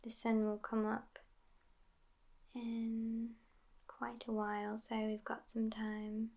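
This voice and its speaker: A woman plays an immortal vampire girlfriend in an ASMR roleplay, sassy yet affectionate. Her fairly high voice stays hushed, often slipping into a whisper. She speaks in a languid, even line with a gravelly creak at the edges. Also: slow pace, heavily acted. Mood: tender, reassuring.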